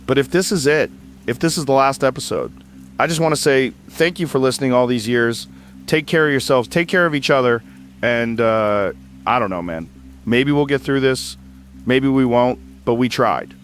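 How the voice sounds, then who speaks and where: A man's voice: mid-range voice, moderate pace, a little hiss or hum, close to an everyday voice. A man playing a shaken, weary podcaster. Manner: shaken, rambling, trailing off. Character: weary male podcaster broadcasting after disaster. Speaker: podcast host. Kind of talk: audio drama monologue. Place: home broadcast set-up on a generator. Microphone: old podcasting equipment.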